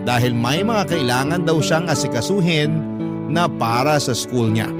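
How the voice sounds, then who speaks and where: A man's voice: fairly high pitch, lightly acted. A man with a Filipino accent playing an earnest narrator of a first-person radio love story. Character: radio host reading a letter sender's love story, earnest. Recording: prominent guitar music under voice.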